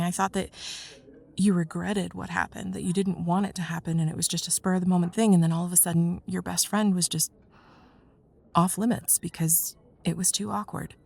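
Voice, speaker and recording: A woman playing a young student in love with her best friend, in an intimate ASMR roleplay, speaking softly and hesitantly, often near a whisper. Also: lowish voice, exaggerated voice. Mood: wounded, candid. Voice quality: soft breathy, husky, often near whisper. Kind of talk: intimate ASMR roleplay, one side of a dialogue.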